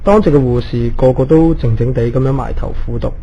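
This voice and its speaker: Weary, uneasy hospital doctor, mid-pitched and mellow, for spooky radio drama. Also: male voice, fairly quick, breathy edge, lo-fi sound. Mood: uneasy, tired. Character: weary, easily rattled male emergency doctor telling ghost tale. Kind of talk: Cantonese radio ghost drama, narration and dialogue.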